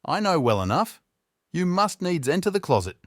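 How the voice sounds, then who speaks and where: A man's voice: high-pitched, very animated, normal speed, fairly bright tone, no echo, studio quality, voice pushed up. A man voicing a fearsome, merciless husband in a fairy tale, with imperious, archaic and formal speech. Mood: cold fury. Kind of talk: storybook character voice in narrated fairy tale.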